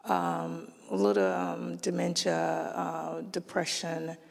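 A woman with a deeply gravelly, raspy voice that sounds hoarse and strained. She talks in a halting, casual way, full of ums, while sharing church news. Her words ring out with a long reverb through a church sanctuary. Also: sing-song. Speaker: church member giving announcements.

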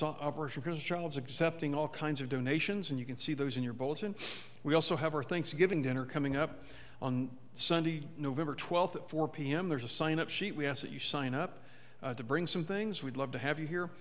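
A man reading church announcements to a congregation in a casual way, with fillers and asides to the room. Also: fairly high pitch. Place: church sanctuary. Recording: reverberant church hall.

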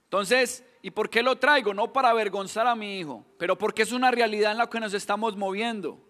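A Colombian man in his thirties delivers an earnest sermon, his voice sitting high. He stumbles and repeats words, then pushes into pointed questions. The sound carries a long reverb from a church hall. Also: brisk, fairly bright tone. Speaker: preacher.

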